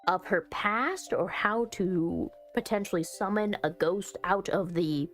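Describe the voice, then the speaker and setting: A woman's voice: on the low side, unhurried, a bit bright, soft background music. A woman giving casual, chatty commentary as she plays through a visual novel, talking to herself with dramatic delivery. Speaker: game streamer. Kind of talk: casual visual novel playthrough commentary, reading text aloud.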